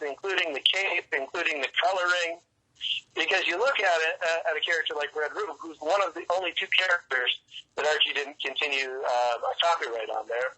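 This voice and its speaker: Bright-voiced, higher-pitched man being interviewed. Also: rough recording. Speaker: interview guest, comics writer and fan club organizer. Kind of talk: casual interview conversation.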